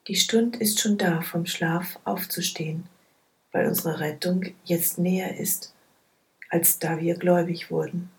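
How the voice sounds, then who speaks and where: A woman reading Bible passages aloud in a soft, hushed, measured way. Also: lowish voice, steady tone, rough recording. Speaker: scripture reader. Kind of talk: slow, quiet Bible reading.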